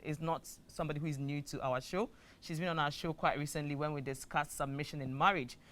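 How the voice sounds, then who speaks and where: A man's voice: on the high side, a bit bright. Ghanaian man hosting a talk show. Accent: Ghanaian English.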